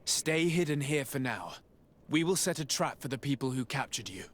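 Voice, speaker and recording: An actor playing a composed, decisive diplomatic envoy in a video game, speaking in a formal, measured way. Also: male voice, medium pitch, expressive, natural voice. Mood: composed, firm. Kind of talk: scripted video game acting.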